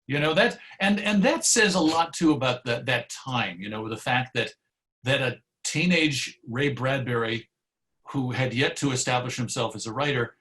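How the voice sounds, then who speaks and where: A man's voice: mid-range voice, fairly bright tone. A man speaking as an interview guest, explaining history in a reflective, discursive and conversational way. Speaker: guest, old-time radio host and historian.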